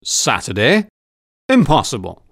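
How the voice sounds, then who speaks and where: A man voicing a reserved, courteous English gentleman, grave and sincere, in audiobook dialogue. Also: mid-range voice, wildly swooping pitch, measured pace, gravelly, husky, no room sound, studio quality, exaggerated voice. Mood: puzzled.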